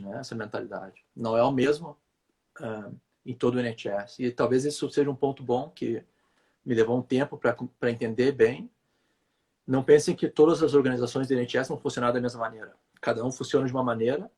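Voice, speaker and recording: A man from Brazil talks through his work as a physiotherapist in a relaxed interview. His voice is husky, and he hesitates often, repeating words as he explains at length. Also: medium pitch. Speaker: physiotherapist guest. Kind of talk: conversational interview answer.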